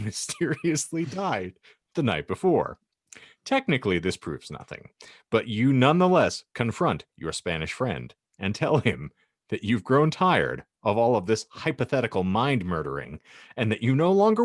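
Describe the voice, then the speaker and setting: A man's voice: mid-range voice, wildly swooping pitch, moderate pace, no echo, clean studio sound. A man hosting a comedy podcast, chatting casually and reading aloud in a jokey, theatrical way. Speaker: podcast host.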